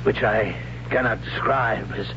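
A hushed, halting young wanderer, voiced by a man, speaks slowly and haltingly in a deep voice. The old radio broadcast sounds dull and lo-fi, with a faint hiss. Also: lightly acted. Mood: awed. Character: awed young man who visited a haunted ruin. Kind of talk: 1970s radio drama acting.

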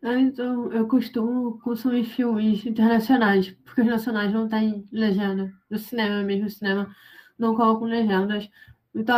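A woman's voice: fairly high pitch, darkish tone. A young woman with a Brazilian Portuguese accent answering interview questions in a hesitant way, with many fillers. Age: young adult. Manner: hesitant, frequent fillers and restarts. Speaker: interviewee, university student.

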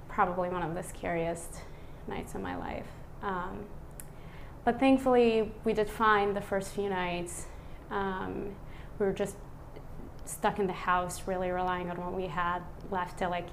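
A woman in her thirties from Iraq, a physician speaking as a podcast guest. She talks in a relaxed, reflective way, with many "um" and "like" fillers. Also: on the low side, slight background noise, poor audio. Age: 30s. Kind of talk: casual conversational interview.

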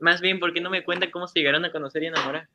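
A man's voice: fairly high pitch, steady tone, racing, background noise, close to an everyday voice. A man playing an eager, sweet and polite little boy in an amateur radio drama.

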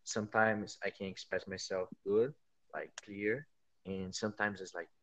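Brazilian man speaking halting English. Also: lowish voice, fairly even intonation, a bit bright. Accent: Brazilian Portuguese. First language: Portuguese. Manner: halting, searching for words in a second language. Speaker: guest. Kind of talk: casual conversation.

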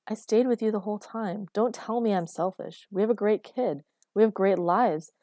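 Put-upon father in his thirties, played by a woman, earnest, protesting, audiobook character, mellow, dry recording. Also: on the low side, brisk, no room sound, natural voice. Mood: angry, firm.

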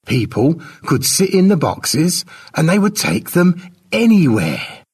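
Guy doing an old-time tribe storyteller, slow n dramatic, husky, pitched up, big swoops, audiobook tale. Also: very animated, a little mellow, distant mic, exaggerated voice. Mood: grand, wondering.

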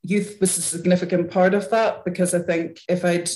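A woman's voice: on the low side, flat delivery, moderate pace, a little mellow. A middle-aged woman with a Northern Irish accent, a guest on a podcast, talking through her career in a thoughtful, rambling way with small restarts. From Northern Ireland. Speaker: podcast guest, arts chief executive. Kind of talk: casual conversational interview. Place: home, recorded remotely. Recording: echoey home room over remote call.

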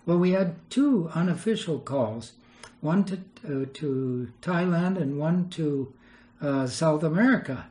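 A man's voice: a little high, lively intonation, a little slow, dark tone. An older man, a retired missionary, telling rambling anecdotes in an interview and chuckling as he goes. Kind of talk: storytelling interview.

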